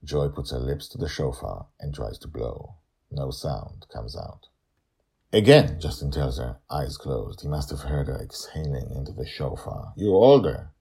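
Very deep, gravelly male storyteller. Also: animated, unhurried, darkish tone. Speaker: author and narrator of a serial audio story. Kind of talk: storytelling podcast narration.

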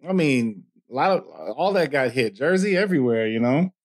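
A man's voice: medium pitch, lively intonation, moderate pace, darkish tone. A man hosting a podcast interview over a video call, talking casually with many fillers and restarts. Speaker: podcast host.

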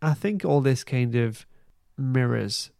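A man hosting a podcast, giving a casual recap in an informal, halting way. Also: mid-range voice, lively intonation, creaky, a little mellow, no echo, clean studio sound. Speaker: podcast host. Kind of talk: casual plot recap and review.

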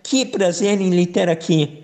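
A man with a fairly high voice hosts a sports radio program. He speaks in an upbeat announcer manner, with formal introductions and questions. His accent is southern Brazilian. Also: measured pace, a little mellow. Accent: southern Brazilian Portuguese.

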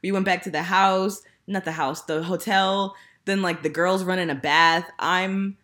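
A candid woman in her early thirties chats loosely on a podcast, her voice sitting a little low yet ringing bright and forceful. She laughs often and piles on fillers as she tells her stories. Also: fairly even intonation, unhurried. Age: 30s. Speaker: podcast host. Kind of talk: candid casual chat.

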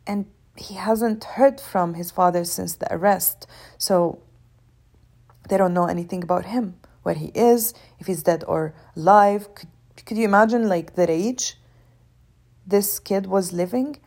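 A woman's voice: medium pitch, unhurried, darkish tone. A young adult woman from Syria, an actress guest telling her story earnestly in an interview and often repeating words as she searches for them. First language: Arabic.

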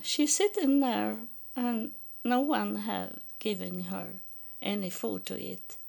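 Slow, halting Swedish-accented woman. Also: mid-range voice, vocal fry, a little mellow. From Sweden. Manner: halting, many fillers and restarts. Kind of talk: personal testimony.

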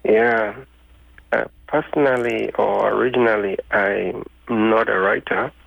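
A man whose first languages are local tongues speaks, answering as a guest performer poet slowly and deliberately. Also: on the low side, fairly even intonation, gravelly, fairly bright tone, no room sound, rough recording. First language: Ghanaian languages (Twi, Ga, Dangme, Ewe). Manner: slow and deliberate, pausing often. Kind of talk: casual interview answers.

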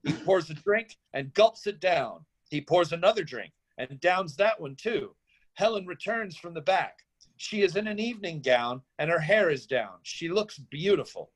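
A man's voice: a little high, lively intonation, moderate pace, slightly rough, poor audio. A man narrating a screenplay, reading out stage directions as scripted narration.

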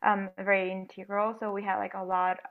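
A young woman from Venezuela talks through her answers slowly, filling gaps with ums. Her voice has a gravelly fry to it. The sound is narrow and tinny, like a video call. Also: mid-range voice, little pitch movement. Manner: hesitant, many ums, soft-spoken. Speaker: guest, flute player. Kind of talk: casual interview answers.

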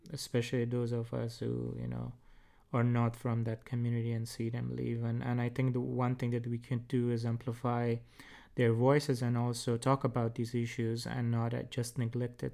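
A man hosts a panel in a gravelly, fairly level voice. His delivery is halting and peppered with "uh". He hands the floor to each guest. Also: medium pitch, dark tone. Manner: halting, many "uh"s. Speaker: host, moderator. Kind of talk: panel discussion.

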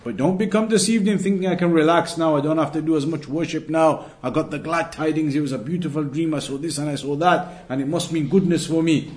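A man with a slightly high voice teaches a religious lesson. He explains things point by point in an instructive manner, coming back to key phrases to stress them. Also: brisk, hardly any pauses, a little mellow. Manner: didactic, repeats key phrases for emphasis.

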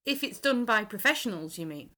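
Woman, really bright voice, casual podcast chat. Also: medium pitch, lively intonation, fairly quick. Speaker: podcast panellist.

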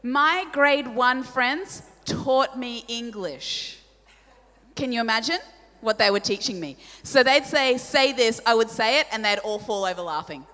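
A woman in her forties with an Australian accent, a native Polish speaker, preaching a sermon with animated, self-deprecating storytelling and questions to the audience. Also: on the high side, unhurried. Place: large church hall. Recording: church hall reverb.

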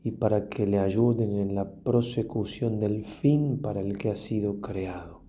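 A low-voiced man leads a guided prayer as a priest. His delivery is very slow and solemn, leaving long pauses and letting phrases trail off softly. The timbre is dark and mellow. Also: slight background noise. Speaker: priest leading a guided meditation.

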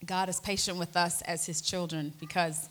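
A woman's voice: fairly low pitch, fairly even intonation, moderate pace, fairly bright tone. A woman in her forties hosting a faith talk show, telling family stories earnestly and animatedly, with frequent fillers. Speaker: host.

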